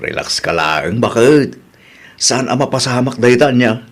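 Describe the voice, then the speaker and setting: A man's voice: mid-range voice, animated, a little slow. A man playing an easygoing, mildly grumbly village husband in a radio comedy drama, questioning and colloquial, with a Filipino accent. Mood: easygoing, defensive.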